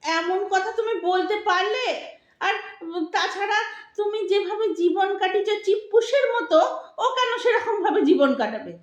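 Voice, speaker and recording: A woman playing a sharp-tongued older Bengali wife and mother in an audio drama, scolding with sarcastic emphasis. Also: very high voice, expressive, normal speed. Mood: scolding, indignant. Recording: roomy, echoing sound.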